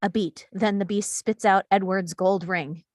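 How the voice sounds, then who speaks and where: A woman's voice: mid-range voice, fairly even intonation, unhurried, a little mellow, no room sound, studio quality. A woman reading stage directions as scripted narration at a screenplay table read.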